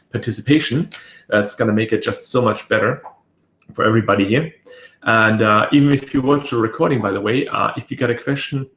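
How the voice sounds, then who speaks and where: A man with a raspy voice hosting a casual webinar, rambling with many fillers and restarts. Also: on the low side, fairly bright tone. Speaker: webinar host. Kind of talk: casual live webinar talk. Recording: echoey room.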